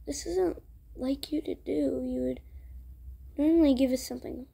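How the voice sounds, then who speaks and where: A woman improvising character dialogue for a roleplay story video, with halting, hesitant delivery. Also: high-pitched, fairly even intonation, creaky, mellow. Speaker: video creator voicing all characters.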